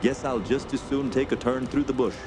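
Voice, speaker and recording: An actor playing a hearty, proud French-Canadian backwoods guide in a radio play, with folksy, colloquial speech. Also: male voice, medium pitch, brisk, natural voice. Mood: musing. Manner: colloquial, folksy, ends remarks with "eh". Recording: clear background noise under voice.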